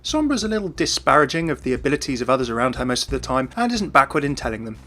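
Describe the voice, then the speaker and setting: A man's voice: medium pitch, big pitch swings, rapid-fire, sharp tone. A British man hosting a gaming video, giving an enthusiastic scripted explanation. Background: faint music.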